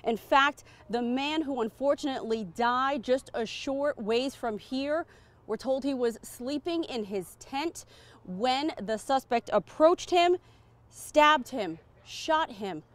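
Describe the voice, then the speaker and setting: A woman's voice: high voice, takes it slowly. An American woman, a TV news reporter, giving a report outdoors on a city street. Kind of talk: news report, live and scripted. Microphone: clip-on lapel mic.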